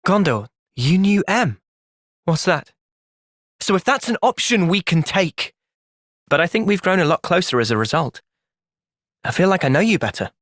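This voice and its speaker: A man playing a gentle, thoughtful young soldier hero in a video game, earnest and reflective in manner. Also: sits high, sing-song, normal speed, pauses often, no echo, clean studio sound, heavily acted. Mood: calm, earnest. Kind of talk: video game cutscene voice acting.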